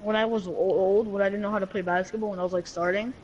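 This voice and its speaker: Giggly fifth-grade kid on game chat. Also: male voice, high-pitched, fast talker, muffled recording, a little hiss or hum. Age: child. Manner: excitable, giggly, stumbling over words. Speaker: online game player. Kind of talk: casual voice chat while gaming.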